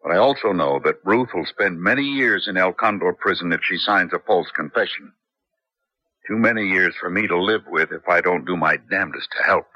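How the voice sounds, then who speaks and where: Shrewd american planner, deep hard-edged voice, earnest, measured, old radio drama. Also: male voice, pushed and bright, no echo. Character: shrewd, determined American man plotting a risky rescue. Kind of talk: 1970s radio drama acting. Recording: dull vintage radio broadcast.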